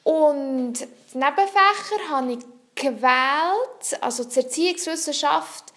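High-voiced student. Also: female voice, slow pace, fairly bright tone. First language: French. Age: young adult. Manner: earnest, explanatory, searches for words. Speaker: psychology student. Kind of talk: answering interview questions.

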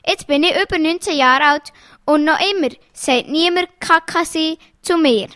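A woman reading jokes aloud in a lively storytelling way, changing her voice for the quoted lines. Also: high voice, normal speed, no echo, studio quality.

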